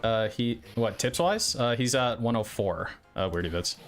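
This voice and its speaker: A man's voice: mid-range voice, expressive, normal speed. A man streaming casually, rambling in a blunt, profane and hesitant way. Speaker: streamer reacting to another player's stream. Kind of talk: casual livestream chat, rambling. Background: music, another streamer's gameplay.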